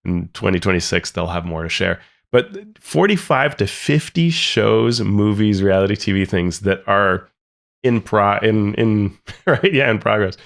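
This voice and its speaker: A man's voice: fairly low pitch, lively intonation, a little slow, vocal fry. A man co-hosting a podcast, reading out quotes and commenting on them in a casual, restart-filled way. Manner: reads quotes aloud then comments, many restarts. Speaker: podcast co-host.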